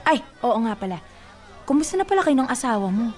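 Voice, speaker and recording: A woman's voice: on the high side, very animated, fast talker, a little mellow, faint music behind. A woman playing a feisty, nosy married neighbor in a Filipino radio drama, chatty, emphatic and protective.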